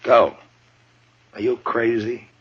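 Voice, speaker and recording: A man's voice: mid-range voice, level tone, takes it slowly, slightly rough, dull audio. A male actor playing a stern, weary frontier lawman in a radio western, blunt and authoritative, on an old broadcast recording. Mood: stern, exasperated. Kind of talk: 1950s radio western acting.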